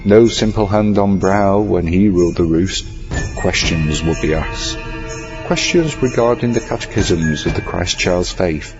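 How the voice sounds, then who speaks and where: A man reading a scripted horror story as narrator, in an ornate, measured storytelling manner. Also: sits low. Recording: slightly dull audio over music. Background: music.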